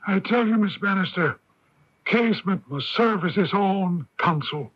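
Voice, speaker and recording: An actor playing a forceful, witty older playwright who argues in deliberate rhetoric, in radio drama style. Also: male voice, sits high, animated, husky, exaggerated voice. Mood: insistent. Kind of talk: 1980s radio drama acting. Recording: dull lo-fi 1980s AM radio recording.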